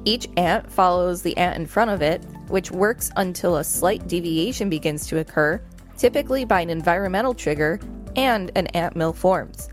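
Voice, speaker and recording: Lower-pitched woman podcast host over music. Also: moderate pace. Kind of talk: reading an encyclopedia article aloud with casual commentary. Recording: music behind the voice.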